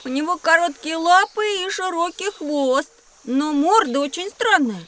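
A woman playing a curious, mischievous young boy in a children's educational audio drama, teasing and excitable. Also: extremely high pitch, a little slow, full character voice.

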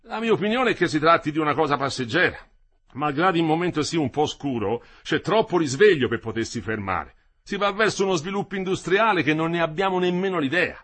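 A man doing a smooth-talking boss addressing his workers. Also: on the high side, pushed and bright. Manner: persuasive, raised speechmaking voice. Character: middle-aged male building contractor, smooth-talking, paternal.

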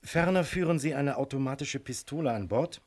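Guy acting as police inspector in a radio play, medium pitch, super polite but firm, formal and a bit threatening. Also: darkish tone, lightly acted. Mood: formal, warning. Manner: formal, deliberate, politely menacing. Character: courteous, firm, wily Dutch police inspector. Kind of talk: radio crime drama acting.

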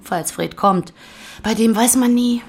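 A woman's voice: on the high side, unhurried, slight background noise. A woman playing a worldly, wry Berlin publisher in a German radio play, speaking in an arch, playful way. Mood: wry, breezy.

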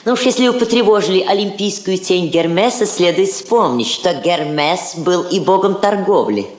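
A woman's voice: lowish voice, expressive, moderate pace, natural voice. An actress playing a poised, witty Soviet woman ambassador in a radio play, speaking articulately with diplomatic irony. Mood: poised, wry. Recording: roomy old radio drama, music behind.

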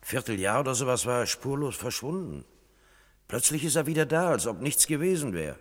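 An actor playing a gossipy, plain-spoken veteran court constable in a German radio play, talking in a folksy way. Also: male voice, mid-range voice, very animated, brisk. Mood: confiding. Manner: colloquial, folksy. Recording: long reverberant hall.

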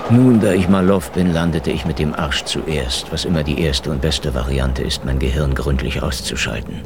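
Noir radio narrator: bruised, sardonic agent, deadpan and crude, rock-bottom pitch with heavy fry. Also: male voice, normal speed, heavy background noise. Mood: wry, weary. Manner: wry, deadpan, coarse. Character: battered, sardonic hard-boiled male agent narrating his misadventures. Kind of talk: noir radio drama first-person narration. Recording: music bed under the voice. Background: music.

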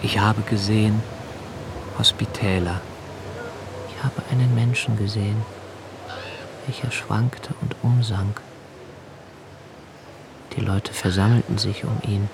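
A brooding young poet, slow and hushed. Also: male voice, lowish voice, steady tone, background noise. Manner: slow, hushed, intimate, drops to near whisper. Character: young male poet narrator, anxious and observant. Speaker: narrator in a radio play. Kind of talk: literary radio drama reading.

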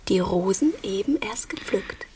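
A woman's voice: mid-range voice, lively intonation, a little slow, warm tone, whispered, recorded from a distance, close to an everyday voice. An actress playing a playful, doting young servant woman in a German radio play, theatrical and coy. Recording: off-mic, faint noise and clicks.

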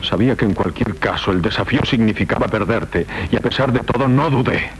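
An actor playing an honour-bound young knight and tormented lover, solemn and fervent in a 1970s Spanish radio drama. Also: male voice, fairly low pitch, a little fast, close to an everyday voice. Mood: fervent urging. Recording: noisy 1970s radio tape.

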